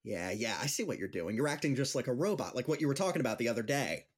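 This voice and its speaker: Animated, teasing young-hearted male friend, acted by a man. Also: medium pitch, fast talker, breathy edge. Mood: playful. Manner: chatty, animated, playful. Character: upbeat, teasing friend and amateur stand-up comic. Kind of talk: audio drama acting.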